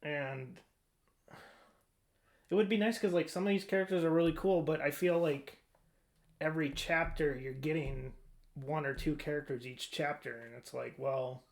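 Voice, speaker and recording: A man's voice: on the high side, steady tone, normal speed, creaky, long pauses. A man co-hosting a podcast, chatting casually about video games in an informal, rambling way as he thinks aloud. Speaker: podcast co-host.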